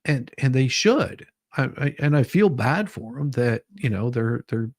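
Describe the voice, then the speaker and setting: A man's voice: mid-range voice, lively intonation, unhurried, a little mellow, no echo, studio quality. A man hosting an online show in casual chat, rambling and often stammering over repeated words.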